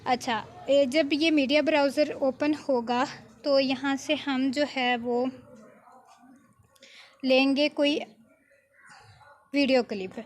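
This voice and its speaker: A woman giving an informal, step-by-step software tutorial as an editing tutor, often adding small check-in tags. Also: sits high, fairly even intonation, takes it slowly, pauses often, a little hiss or hum.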